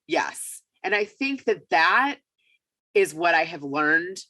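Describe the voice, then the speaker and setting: A woman with a raspy voice, getting over a cold, speaking as an upbeat, emphatic guest in a casual podcast interview. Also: fairly low pitch, sing-song, slow, bright, forceful tone.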